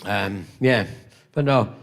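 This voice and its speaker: A gravelly older British man spins rambling, playful stories at a convention panel. His voice has a rough edge, and he throws in self-mocking jokes between tales, now and then coughing from a tickle in his throat. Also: on the low side, slow. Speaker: convention guest actor. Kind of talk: convention Q&A storytelling. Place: convention hall stage.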